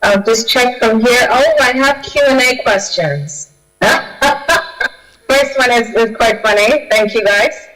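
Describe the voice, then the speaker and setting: A woman's voice: on the high side, takes it slowly, fairly bright tone, soft background music, poor audio. A woman from Kenya, a guest speaker on a video call, answering audience questions with many fillers and restarts. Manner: hesitant, with frequent fillers and restarts.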